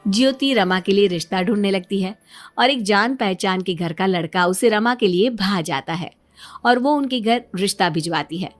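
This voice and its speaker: A woman narrating a scripted story as the storyteller. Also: mid-range voice.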